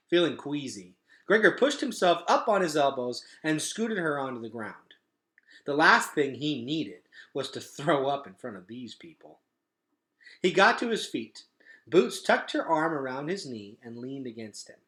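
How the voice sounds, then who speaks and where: A man reading a storybook aloud as an expressive, dramatic narrator. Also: on the high side, measured pace. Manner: animated, dramatic. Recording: echoey room.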